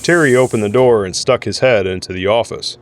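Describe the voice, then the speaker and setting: Low-pitched male audio drama narrator. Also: a little fast.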